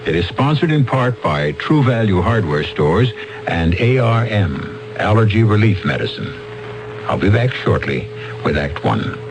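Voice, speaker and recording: Measured male radio host. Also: lowish voice, very animated, noisy background. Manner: measured, theatrical. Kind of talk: scripted narration.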